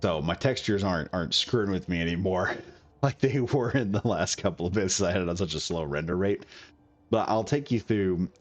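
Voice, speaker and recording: Deep-voiced man with a cold. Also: brisk, highs cut off. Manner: rambling, chatty, self-correcting. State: recovering from a cold, coughing. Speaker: gaming video creator. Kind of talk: casual game build commentary.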